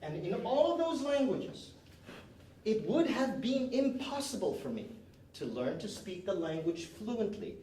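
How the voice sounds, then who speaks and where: A man's voice: sits high, big pitch swings, unhurried, warm tone. A man teaching an English class, giving emphatic, directive instructions and barking short commands as he leads drills. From moved to Canada at age nine. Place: indoor classroom. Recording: roomy classroom, distant mic, faint noise.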